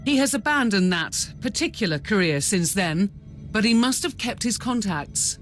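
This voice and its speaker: An actress playing a composed inquisitor's aide in a video game, with a measured, formal and dryly wry way of talking. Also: female voice, mid-range voice, expressive, close to an everyday voice. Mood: composed, informative. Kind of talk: video game cutscene dialogue. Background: game music.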